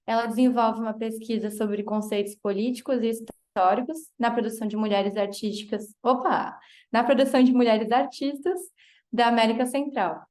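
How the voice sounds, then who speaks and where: A woman's voice: on the high side, a little mellow. A woman with a Brazilian accent hosting an interview, introducing a guest and asking questions in an informal way. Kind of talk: reading a bio, then casual interview questions.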